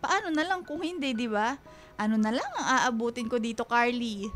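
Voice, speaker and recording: A woman played by an actress as a proud, self-reliant mother in a radio drama. Her voice is high and chiding, fond underneath, with faint music behind. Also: animated, normal speed, close to an everyday voice. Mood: firm, motherly. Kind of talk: Filipino radio drama acting.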